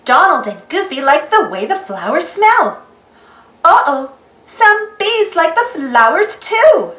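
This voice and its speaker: Slow, singsong woman reading a storybook. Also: very high-pitched, sing-song, a bit bright. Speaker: storybook reader. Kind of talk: children's storybook read-aloud. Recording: roomy echo, faint background music.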